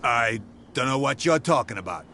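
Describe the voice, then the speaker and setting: A jittery, rude bootlegger. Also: male voice, mid-range voice, lively intonation, moderate pace, bright, forceful tone, slight background noise. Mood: gruff. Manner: blunt and jittery. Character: jumpy male bootlegger, nervous but rude. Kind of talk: video game voice acting.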